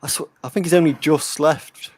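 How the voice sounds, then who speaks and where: A man hosting a live watch-along, chatting casually, reading out viewer comments and giving shout-outs. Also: fairly high pitch, steady tone, moderate pace. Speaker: host. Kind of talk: casual chat.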